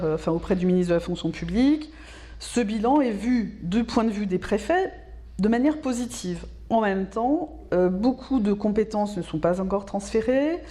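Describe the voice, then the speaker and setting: A woman giving explanatory interview answers as a specialist, in a measured, didactic way, repeating words for emphasis. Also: medium pitch, mellow. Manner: measured, didactic, emphatic repetition, checks understanding with tags. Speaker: local government specialist being interviewed.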